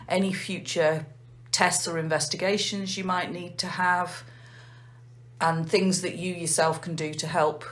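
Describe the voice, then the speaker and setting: An English woman, a nurse manager, calmly explaining a heart failure clinic in an interview. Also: low voice. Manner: measured, methodical explaining.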